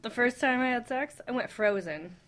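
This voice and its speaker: A woman's voice: fairly high pitch, expressive, normal speed, fairly bright tone. A woman on a radio panel, chatting casually and reacting to the others. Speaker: talk show panelist.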